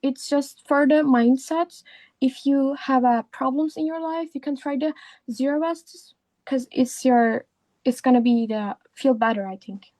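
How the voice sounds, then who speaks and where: A woman's voice: high voice, a little slow, warm tone, dry recording. A sixteen-year-old girl from Turkey, a non-native English speaker, presenting a school talk over an online call with many hesitations and fillers. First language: Turkish. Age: teens. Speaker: student speaker. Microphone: remote call microphone.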